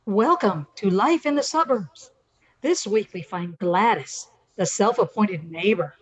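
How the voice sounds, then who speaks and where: A woman's voice: medium pitch, lively intonation, measured pace, background noise. An older woman announcing and narrating a scripted radio play, on a slightly muffled recording.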